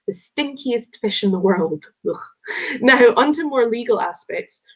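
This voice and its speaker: A woman's voice: mid-range voice, a little mellow, poor audio. A woman co-hosting a show, reading a prepared segment with chatty, jokey asides. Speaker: co-host presenting a segment. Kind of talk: scripted segment read with asides.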